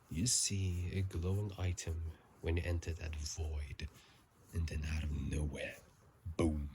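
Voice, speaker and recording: A man speaking in a soft ASMR whisper and murmur, casual and halting, recorded on a phone microphone. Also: deep voice, gravelly, breathy edge. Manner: halting, casual, self-correcting. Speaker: channel host. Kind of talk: whispered ASMR game commentary. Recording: faint noise, phone microphone.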